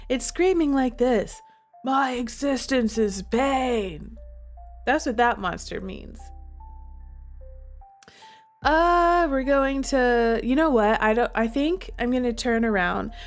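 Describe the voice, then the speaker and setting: A woman streaming a visual novel, chatting to viewers and reading the game text aloud with dramatic feeling. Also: on the high side.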